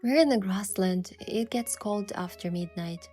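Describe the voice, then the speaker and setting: A woman playing a sincere, easily flustered young heroine, narrating and speaking in a romance story with soft expression. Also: medium pitch, measured pace, close to an everyday voice. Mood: tender narration. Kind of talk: first-person narration and dialogue in a romance story. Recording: music behind the voice.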